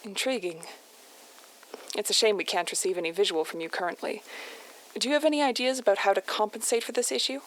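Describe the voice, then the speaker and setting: A woman playing a guarded mission contact in an audio drama, speaking in a measured, formal, reserved way. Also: mid-range voice, close to an everyday voice, low-quality recording. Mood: formal, calm. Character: calm, guarded female mission contact debriefing a field scientist.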